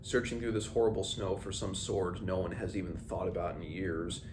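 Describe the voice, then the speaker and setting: A man's voice: sits low, flat delivery, moderate pace. A man hosting an informal book read-along, mixing read-aloud passages with his own commentary in stop-and-go phrasing. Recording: clearly noisy background ambience.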